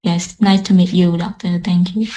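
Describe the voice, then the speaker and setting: A woman's voice: fairly low pitch, steady tone, normal speed, dark tone. A woman who works in an education department, politely introducing herself with some hesitation on a video call.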